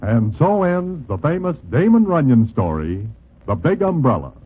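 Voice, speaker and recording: A man's voice: on the high side, very animated, normal speed, husky. A man announcing a scripted program sign-off in 1940s radio style. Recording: tinny 1940s radio recording, music behind.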